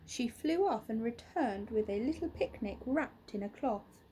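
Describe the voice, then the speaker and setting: A young woman teacher gently and expressively reading a children's picture book aloud in a small room. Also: fairly high pitch, expressive, measured pace, slight background noise, poor audio.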